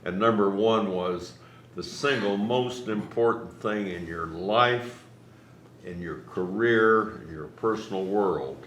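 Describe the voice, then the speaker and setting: An older man giving informal closing remarks to an audience as host, in halting, deliberate phrases. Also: on the low side, fairly bright tone. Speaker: event host, business mentor. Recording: echoey room with faint noise.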